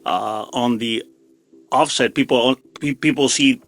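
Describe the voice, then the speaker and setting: A middle-aged man answering as an interview guest in a casual, modest way, often halting and repeating himself. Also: medium pitch, a bit bright.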